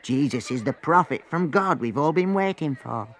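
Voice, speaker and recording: A man's voice: on the high side, lively intonation, normal speed, voice pushed up. A man voicing an astonished, earnest villager in a dramatised story reading. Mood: awed.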